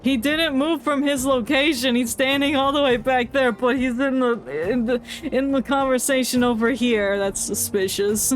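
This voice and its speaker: A woman's voice: sits high, fairly even intonation, moderate pace, few pauses. A woman streaming a game with casual, joking, theatrical commentary over game music.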